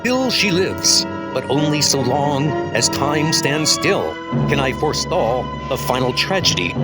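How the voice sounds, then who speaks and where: A man voicing a heroic, grave thunder god with lofty, formal, declamatory speech in a superhero comic dub. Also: a little high. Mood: grave, sorrowful.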